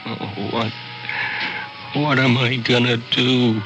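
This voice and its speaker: An actor playing a cornered, vain small-time hoodlum in a radio thriller, muttering tensely to himself. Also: male voice, mid-range voice, little pitch movement, slow, close to an everyday voice, low-quality recording. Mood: frightened, desperate. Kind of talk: 1940s-50s radio thriller acting. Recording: old radio recording, dramatic music behind.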